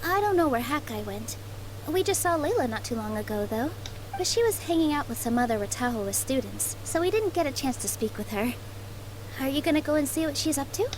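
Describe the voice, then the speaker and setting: A woman's voice: high voice, expressive, brisk, noisy background. A woman playing a cheerful, helpful young woman, upbeat and chatty, in an anime game.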